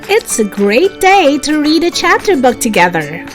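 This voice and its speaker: A woman hosting and narrating a children's story in an expressive, deliberate read-aloud style. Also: high-pitched, soft background music. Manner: slow, deliberate, animated delivery for children. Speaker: story reader and channel host.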